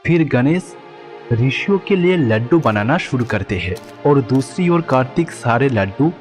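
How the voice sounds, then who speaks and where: A man's voice: medium pitch, expressive, measured pace. Male storybook narrator in long reverb. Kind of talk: scripted devotional storybook narration. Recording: long reverb, background music.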